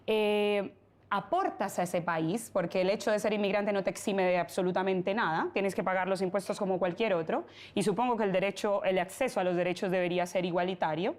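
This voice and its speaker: A woman's voice: medium pitch, darkish tone. Earnest woman with a Colombian accent. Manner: earnest, argues in long flowing points. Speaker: pro-migrant activist guest. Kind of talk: debate argument.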